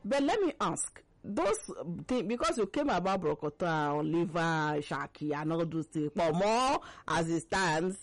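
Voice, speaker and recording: Woman, early fifties, Nigerian accent, interview host, very animated intonation, playful, probing, dry close recording. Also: fairly low pitch, measured pace, few pauses, dry recording. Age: early 50s. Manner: expressive, playful, pressing for answers. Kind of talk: conversational interview.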